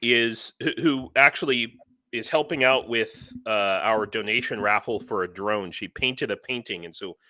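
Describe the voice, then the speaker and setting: A man's voice: on the low side, normal speed, sharp tone, no room sound. A man hosting a casual livestream interview, rambling a little and often repeating himself as he feels for his words. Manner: hesitant, rambling, repeats phrases.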